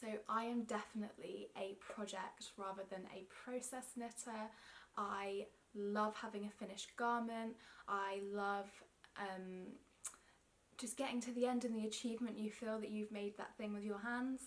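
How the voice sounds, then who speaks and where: A woman's voice: mid-range voice, fairly even intonation, moderate pace. Chatty young woman with a London accent. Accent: London English. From England. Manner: chatty, self-conscious, frequent ums. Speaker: knitting vlogger. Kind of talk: casual self-interview to camera. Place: indoor room with yarn shelves.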